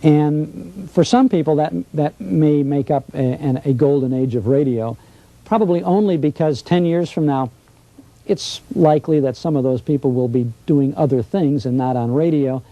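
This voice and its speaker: A man with a very dark, soft-edged voice gives his opinions in an interview. He talks plainly and conversationally, leaning on points by repeating them. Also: on the high side, normal speed, no room sound, muffled recording.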